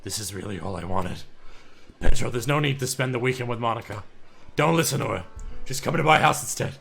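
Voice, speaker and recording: A man's voice: mid-range voice, animated, fairly quick, vocal fry, fairly bright tone, husky, heavily acted. Man reading as Yuri, an obsessive lovesick girl. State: hoarse, losing his voice. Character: obsessive, lovesick shy young woman.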